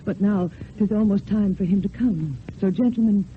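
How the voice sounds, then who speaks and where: Through a thin, slightly noisy old radio recording comes a grieving widow, played by an actress. She is devoted and formal, with measured theatrical diction from the radio stage. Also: medium pitch, narrow, tinny audio, lightly acted. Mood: composed, fond. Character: Roxanne, grieving devoted French widow living in convent.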